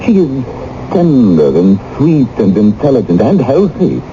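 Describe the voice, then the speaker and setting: An actor playing a deferential, hesitant, flattering royal minister in a radio drama. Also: male voice, medium pitch, a little slow, slight background noise. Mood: persuasive, flattering. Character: wary, deferential, smooth-talking male royal minister. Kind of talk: old-time radio drama acting. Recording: muffled old radio broadcast.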